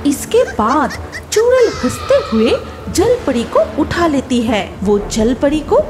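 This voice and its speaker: A woman's voice: sits high, sing-song, slow. A woman narrating a scripted children's cartoon story, with music behind her.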